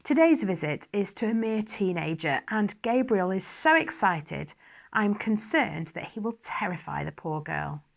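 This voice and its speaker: A woman reads a scripted story aloud at a medium pitch. Her delivery is expressive first-person narration. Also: a little slow, vocal fry. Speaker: story reader.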